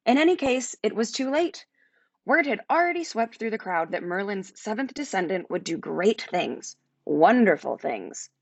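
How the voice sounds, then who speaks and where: A woman narrating a children's fantasy book aloud as its author, in an expressive storytelling style. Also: mid-range voice, vocal fry, no echo. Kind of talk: animated children's audiobook narration.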